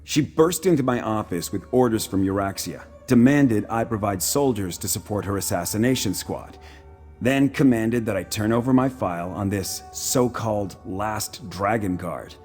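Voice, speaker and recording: Man acting a low-voiced, shrewd military commander over music. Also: a little mellow, lightly acted. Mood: indignant, grim. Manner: formal, measured, self-assured. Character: shrewd, proud, dry-witted Imperial military commander. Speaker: voice actor in a video game. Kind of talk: video game character dialogue. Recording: music playing behind the voice.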